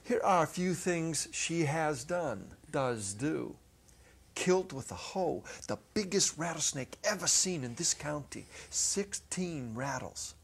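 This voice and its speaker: Gravelly, husky middle-aged male storyteller. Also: a little high, animated. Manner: deliberate phrasing with dramatic pauses. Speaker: narrator, dramatic reader. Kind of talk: dramatic storytelling from a script. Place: small indoor stage set. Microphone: clip-on lapel mic.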